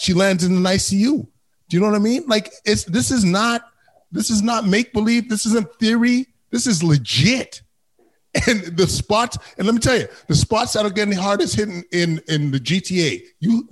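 A man's voice: high-pitched, normal speed. A man talking casually and emphatically in a discussion, colloquial and checking that the listener follows.

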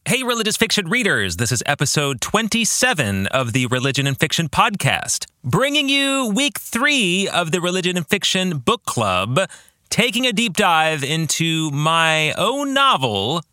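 A man's voice: on the high side, sing-song, a little slow, bright, forceful tone, no echo, studio quality. A man hosting a podcast, narrating in an earnest, emphatic way as an author introducing his work.

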